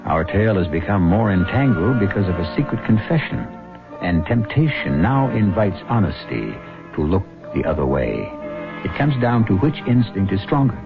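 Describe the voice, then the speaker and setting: A man hosting a radio drama, narrating a script in a measured, philosophical, theatrical way. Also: low voice, sing-song, vocal fry, over music. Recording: dull old radio recording.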